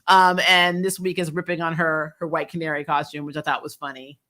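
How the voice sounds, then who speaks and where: A woman giving a casual, opinionated recap as an online reviewer, expressive and informal in her slangy delivery. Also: low-pitched, brisk. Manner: expressive, informal slang, frequent laughing asides. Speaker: YouTube TV show reviewer, host.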